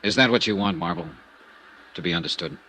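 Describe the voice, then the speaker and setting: A man's voice: low-pitched, brisk, sharp tone, a little hiss or hum. An actor playing a hard-boiled male insurance investigator in a 1950s radio drama, narrating and questioning with dry, persistent wit. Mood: wry. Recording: muffled old radio broadcast.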